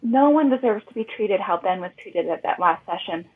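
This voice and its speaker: A woman's voice: mid-range voice, animated, a little fast, close to an everyday voice. A woman playing a worried, sympathetic caller in a fiction podcast, with a plaintive manner, over a phone line. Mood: sympathetic, indignant. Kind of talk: scripted fiction podcast acting. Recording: tinny narrow phone line.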